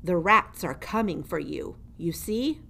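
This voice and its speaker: Woman as a grim old jailer, loud and ominous. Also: fairly low pitch, lively intonation, a little slow, creaky, heavily acted. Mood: ominous warning. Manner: ominous, emphatic, refers to himself in third person. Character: grim, theatrical old male dungeon jailer. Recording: noticeable background noise.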